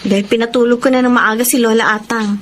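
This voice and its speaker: A woman's voice: fairly high pitch, fairly even intonation, quick pace, background noise, lightly acted. A woman playing a dutiful, caring young sister in a Filipino radio drama. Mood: concerned, reproachful.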